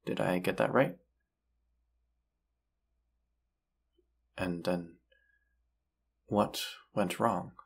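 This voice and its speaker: A man playing a gentle, forgiving vampire hunter and lover in an intimate audio roleplay, speaking tenderly with hesitant pauses. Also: low-pitched, steady tone, creaky, breathy edge, heavily acted. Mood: stunned, curious.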